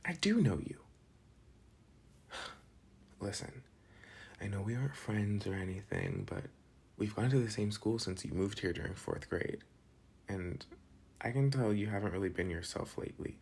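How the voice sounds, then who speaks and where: A young man playing a protective, teasing but soft-hearted school delinquent in an intimate, casual ASMR boyfriend roleplay. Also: lowish voice, lively intonation, normal speed, long pauses, husky. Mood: concerned, earnest.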